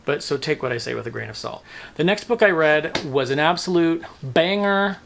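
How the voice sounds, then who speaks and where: A man's voice: fairly high pitch, expressive, normal speed, vocal fry, a bit bright, a little hiss or hum. A man talking casually and emphatically in a book review vlog, often musing aloud and second-guessing himself.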